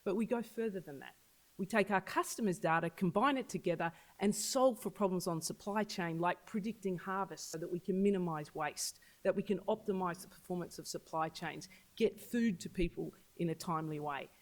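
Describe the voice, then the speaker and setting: An agtech business leader giving an earnest launch speech to an audience at an event. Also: fairly quick. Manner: earnest, pitching, repeats for emphasis. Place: event venue.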